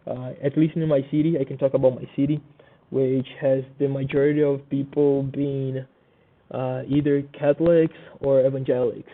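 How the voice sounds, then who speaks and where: Brazilian student man, halting delivery, tinny audio. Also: mid-range voice, flat delivery. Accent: Brazilian Portuguese. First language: Portuguese. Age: young adult. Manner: halting, many fillers and restarts. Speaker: student presenter. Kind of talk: class presentation.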